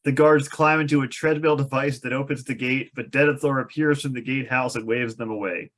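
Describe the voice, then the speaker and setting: A man's voice: mid-range voice, steady tone, a little fast, a bit bright. A man reading stage directions aloud as narrator of a fantasy screenplay table read.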